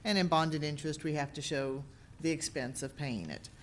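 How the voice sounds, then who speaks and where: A woman's voice: low-pitched, a little fast, a little hiss or hum. A woman acting as a city finance officer, explaining a budget amendment at a public meeting in a plain, matter-of-fact way.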